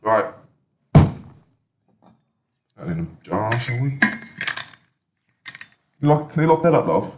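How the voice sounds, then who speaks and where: A man's voice: mid-range voice, very animated, measured pace, long pauses, heavy background noise. A man with a British accent playing a bumbling, polite, evasive character in a comedy sketch, stammering and repeating himself. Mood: fussy, apologetic.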